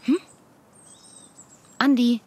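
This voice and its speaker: A woman's voice: extremely slow, a little mellow. An actress playing a persistent woman investigator in a radio drama, questioning in a matter-of-fact way. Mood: probing.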